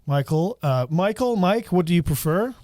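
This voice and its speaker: A man from Slovakia with a Slovak accent, hosting a casual podcast chat, laughing often and talking in quick bursts. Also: sits high, expressive, darkish tone, no room sound. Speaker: podcast host.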